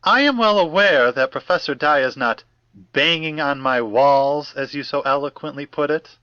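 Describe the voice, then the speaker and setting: A man's voice: a little high, moderate pace, sharp tone, smooth, no echo, muffled recording. A man playing a prim, earnest eccentric in a fiction podcast, pompous and florid, with formal diction. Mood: prim, affronted. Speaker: actor in an audio drama.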